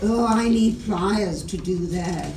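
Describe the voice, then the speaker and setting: A woman's voice: mid-range voice, slow pace. An older woman playing a scatterbrained, hesitant woman in an amateur radio theatre reading. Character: scatterbrained woman with a broken old vacuum. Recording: echoey room, distant mic, noisy background.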